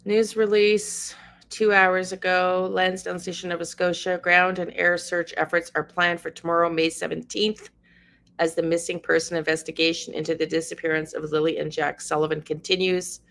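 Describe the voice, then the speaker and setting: A middle-aged woman with a drawl hosts a casual livestream, talking straight to her viewers by name. Her voice sits low yet sounds bright and forward, and it comes through a computer mic. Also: level tone, normal speed, creaky. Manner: chatty, talks to chat viewers by name. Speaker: livestream host. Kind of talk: casual livestream chat, reading news aloud.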